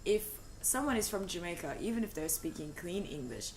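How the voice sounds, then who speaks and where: A woman co-hosting a live stream, explaining her ideas in a relaxed, thoughtful way with frequent fillers and easy laughs. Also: on the low side, fairly quick, slight background noise. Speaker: co-host of a language stream. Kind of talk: casual discussion on a live stream. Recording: music playing behind the talk.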